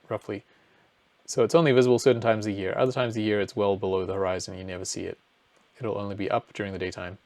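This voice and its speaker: A man's voice: on the low side, no room sound. New man explaining over dry audio. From New Zealand. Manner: casual, explanatory, thinking aloud with fillers. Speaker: presenter. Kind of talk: screen-share astronomy explainer.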